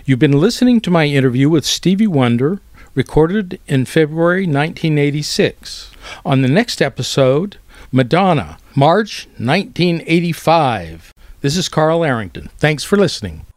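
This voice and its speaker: A man's voice: fairly high pitch, sing-song, normal speed, no echo, studio quality. An American man hosting a podcast, reading a scripted sign-off. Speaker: podcast host.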